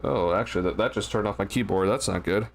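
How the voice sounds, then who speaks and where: A man's voice: fairly low pitch, quick pace, creaky, faint music behind. A tired, slightly stuffy young man hosting a casual postgame livestream, rambling with lots of fillers and restarts into a desk mic. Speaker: sports livestream host. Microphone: desk mic at a streaming setup.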